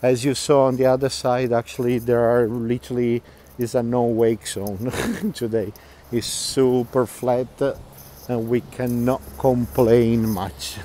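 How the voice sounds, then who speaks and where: Guy streaming a walk outdoors, mellow voice, lots of uhs and little laughs. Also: mid-range voice, little pitch movement, unhurried, slight background noise. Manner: chatty, laughs often, many fillers and restarts. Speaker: walking tour guide. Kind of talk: live-stream walking tour, reading viewer comments aloud. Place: outdoors, quiet canal-side streets.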